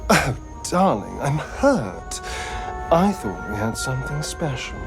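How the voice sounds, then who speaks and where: An actor playing a suave, sardonic vampire rogue in a video game, with an arch, theatrical drawl. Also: male voice, mid-range voice, big pitch swings, slow. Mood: smug, teasing. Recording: music playing behind the voice.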